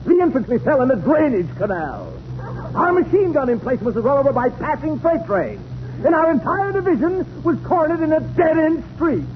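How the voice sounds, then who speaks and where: Furious commanding general, indignant barking, very high animated voice, 1930s radio comedy, dull noisy recording. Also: male voice, moderate pace. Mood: outraged. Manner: barking orders, indignant, theatrical.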